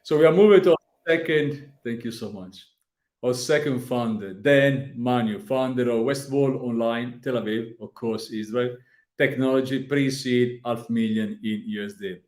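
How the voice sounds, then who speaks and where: A man hosting a live online pitch event, thanking founders with friendly bursts of speech. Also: moderate pace, darkish tone. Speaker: event host. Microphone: video call. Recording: echoey room on a call.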